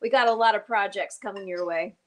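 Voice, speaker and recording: A woman's voice: mid-range voice, a little fast, fairly bright tone, slight background noise. A woman from North Carolina, hosting a casual cooking segment and asking many questions. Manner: chatty, asks lots of questions. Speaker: host.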